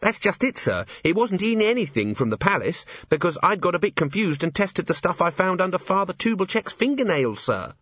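Man voicing an earnest high-voiced young dwarf woman. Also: very animated, fairly quick, hardly any pauses, fairly bright tone, no room sound, lo-fi sound, full character voice. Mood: nervous, earnest. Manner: eager, hesitant, deferential. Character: earnest, timid young female dwarf forensic officer.

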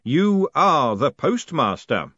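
A man voicing a commanding mystical voice made of letters, intoning slowly and solemnly. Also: fairly high pitch, very animated, bright, forceful tone, dry recording, highs cut off, voice pushed up, exaggerated voice.

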